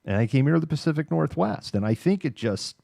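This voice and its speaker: A middle-aged American man hosting a livestream, talking casually and candidly in a halting, informal monologue. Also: fairly high pitch, big pitch swings, gravelly, dark tone, no room sound, studio quality. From Massachusetts, United States. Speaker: livestream news host. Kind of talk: casual monologue of personal reminiscence.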